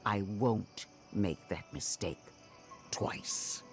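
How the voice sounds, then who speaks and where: A man's voice: lowish voice, drawn-out words, faint music behind. A man playing a stern, contemptuous military commander in a game cutscene, speaking in a formal, menacing, oratorical manner. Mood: bitter, threatening. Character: stern, contemptuous male military commander, threatening and self-righteous. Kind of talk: dramatic game cutscene acting.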